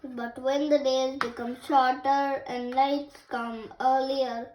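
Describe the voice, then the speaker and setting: Child reader, age six, very high voice, halting storybook delivery, slightly noisy home recording. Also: level tone, a little mellow. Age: child, 6 years old. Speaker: host of a children's read-aloud channel. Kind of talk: reading picture books aloud.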